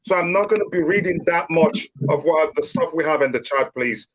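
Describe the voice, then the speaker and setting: A man's voice: a little high, a little fast, a little hiss or hum, rough recording. A man hosting a live fan debate, hesitant and stammering, losing his voice. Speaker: host.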